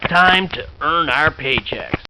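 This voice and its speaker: Tough, cocky game mercenary, fairly high voice, heavy noise behind. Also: male voice, lively intonation, slow. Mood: cocky, tense. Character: cocky tough male mercenary, eager for a fight. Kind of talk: video game character voice acting. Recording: very noisy game audio, engine-like ambience.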